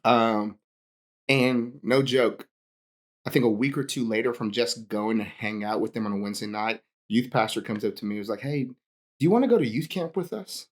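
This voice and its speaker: A man's voice: medium pitch, moderate pace. A young man from Hawaii, a pastor and podcast guest, telling stories in a casual chat and laughing often.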